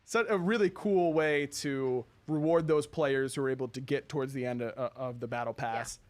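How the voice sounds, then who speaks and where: A man's voice: medium pitch, normal speed. A man hosting a casual game update presentation with an upbeat, enthusiastic manner. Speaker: host of a game update show. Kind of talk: casual live presentation and commentary.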